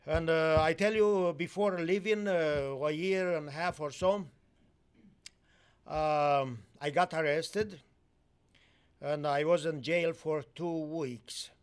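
A Romanian-born man speaking in halting, broken English with many fillers, giving a sermon testimony in a church. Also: a little high, fairly even intonation, smooth. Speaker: guest preacher.

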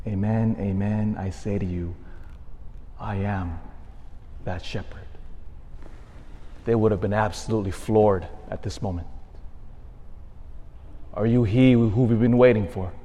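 Priest preaching in a reverberant church. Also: male voice, fairly low pitch, measured pace, long pauses, a little hiss or hum. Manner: storytelling, swings from hushed to animated. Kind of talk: homily.

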